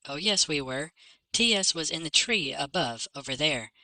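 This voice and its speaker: A man's voice: on the high side, animated, moderate pace, bright, forceful tone, no room sound, pitched above the performer's own voice, exaggerated voice. A man voicing a bossy, scornful young male werewolf with a taunting, boastful manner in a fantasy audiobook. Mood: smug, defensive.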